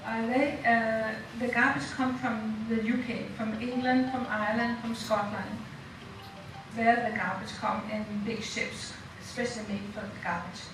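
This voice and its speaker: A woman with a Danish accent speaking as a panelist in an informal talk, halting and full of fillers. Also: fairly high pitch. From Denmark. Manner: halting, with many fillers and soft laughs. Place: lecture room. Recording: echoey room from a distant mic, noisy.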